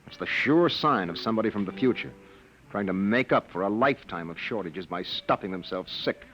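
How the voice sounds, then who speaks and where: An actor playing a young husband in hiding in a 1950s radio drama, curt, defensive and strained. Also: male voice, on the low side, lively intonation, a little fast, a bit bright, rough recording. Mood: tense. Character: tense young husband on the run, a fugitive from the future.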